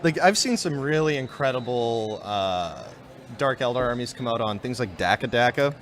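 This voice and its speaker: A man's voice: medium pitch, animated, moderate pace. A man co-hosting a gaming show, talking casually and rambling with lots of fillers. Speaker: podcast co-host.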